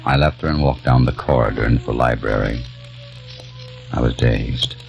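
An actor playing a grieving young man who narrates and speaks in a grave, hushed, sorrowful way in a 1940s radio drama. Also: very deep voice, normal speed, creaky. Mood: sorrowful narration. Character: grieving young man haunted by lost love. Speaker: narrator and lead. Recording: dull lo-fi old radio recording with faint music.

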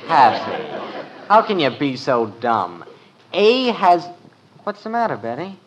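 A man's voice: a little high, big pitch swings, measured pace, a little hiss or hum, poor audio. A gawky American teenage brother in a fifties family radio comedy. His delivery jumps up and down with gee-whiz emphasis, bossy yet good-hearted. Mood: impatient.

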